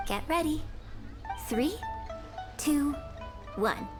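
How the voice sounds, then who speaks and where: A woman voice acting a graceful, polite and gently playful young woman in a video game. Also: sits high, expressive, very slow, recorded from a distance, over music, full character voice. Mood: playful, composed.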